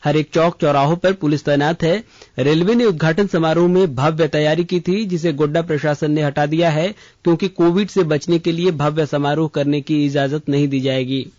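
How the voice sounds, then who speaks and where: A man reading a scripted regional news bulletin in a formal, measured way. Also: fairly high pitch, fairly even intonation, a little mellow, no room sound. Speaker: radio news reader. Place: radio studio. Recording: slightly dull, band-limited radio audio.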